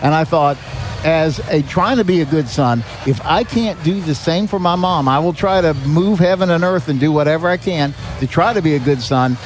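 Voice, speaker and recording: A man's voice: fairly high pitch, normal speed. A man talking earnestly and conversationally as a podcast guest, telling anecdotes and acting out quotes. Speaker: podcast guest, charity director. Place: live podcast at a restaurant.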